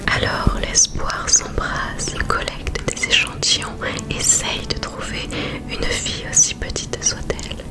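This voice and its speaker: A woman playing an awestruck space mission scientist in a whispered ASMR roleplay, speaking in a slow, hushed, solemn and poetic way. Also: natural voice. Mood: awed. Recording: music behind the voice.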